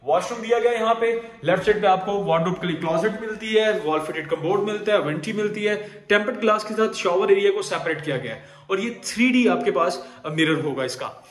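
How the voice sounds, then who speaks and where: High-voiced Pakistani man touring a house. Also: a little fast. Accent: Pakistani Urdu. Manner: upbeat salesman patter mixing English phrases. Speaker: property tour host. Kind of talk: walkthrough house tour narration. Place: empty house, large tiled rooms indoors. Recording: echoey empty rooms.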